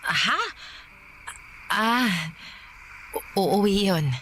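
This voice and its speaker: A woman's voice: mid-range voice, animated, drawn-out words, a bit bright, slight background noise. An actress playing an elderly, worn but protective Filipino grandmother in a Tagalog radio drama, scolding and caring by turns. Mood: soothing, worried.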